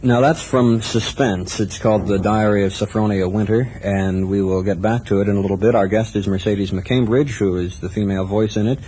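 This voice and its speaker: A man's voice: fairly low pitch, fairly even intonation, a little fast, few pauses, dark tone, a little hiss or hum, low-quality recording. A man presenting a radio interview program in a conversational style.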